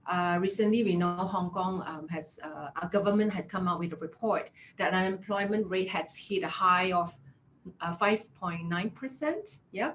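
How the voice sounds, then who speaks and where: Casting: Malaysian-accented woman, 30s, HR career coach, lowish voice, chatty, faint hiss behind. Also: normal speed, fairly bright tone, low-quality recording. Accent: Malaysian English. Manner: chatty, filler-heavy, affirming with "yeah" and "right?". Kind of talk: webinar presentation.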